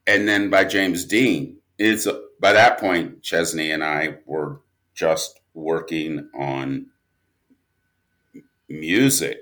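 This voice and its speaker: A man's voice: a bass voice, fairly even intonation, slow pace, fairly bright tone. A man speaking as an interview guest in casual, reflective conversation, rambling along in a self-deprecating way. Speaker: interview guest, audio drama writer and producer.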